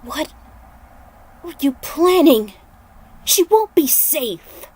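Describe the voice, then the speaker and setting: A woman's voice: high voice, sing-song, extremely slow, darkish tone, pitched above the performer's own voice, exaggerated voice. A woman voicing an earnest, heroic male warrior monk champion with an intense, dramatic delivery. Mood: angry, distrustful.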